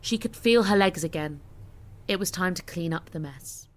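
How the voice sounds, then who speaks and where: A woman's voice: lowish voice, moderate pace. A woman giving scripted, dramatic story narration as an audiobook narrator. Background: music.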